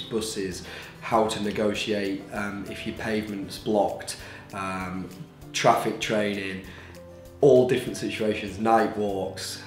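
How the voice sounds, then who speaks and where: A man's voice: fairly low pitch, echoey room. A man who works as a guide dog mobility instructor, describing the training in an interview. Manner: brisk, coaching.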